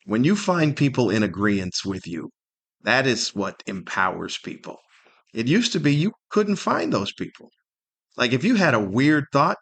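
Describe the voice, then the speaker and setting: A man's voice: mid-range voice, creaky, a bit bright. A middle-aged or older man hosting a solo podcast, rambling casually with wry humor and plenty of rhetorical questions. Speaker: podcast host.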